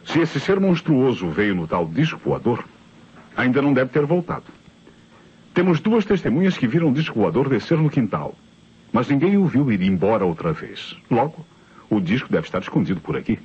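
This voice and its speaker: A Brazilian actor playing a methodical, skeptical police inspector in an old radio mystery, probing and reasoning aloud with authority. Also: male voice, mid-range voice, sing-song, normal speed, darkish tone, slightly rough. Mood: reasoning, commanding. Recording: muffled old radio recording.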